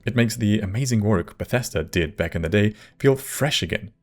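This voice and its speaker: Guy doing scripted youtube narration, lowish voice, kinda wry and measured. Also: expressive, darkish tone, soft background music. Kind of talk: scripted video essay narration.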